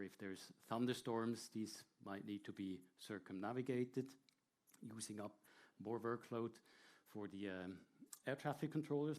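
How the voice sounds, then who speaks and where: A man presenting a technical talk to an audience in a measured, explanatory way, with short hesitant pauses. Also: lowish voice. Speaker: air traffic control presenter.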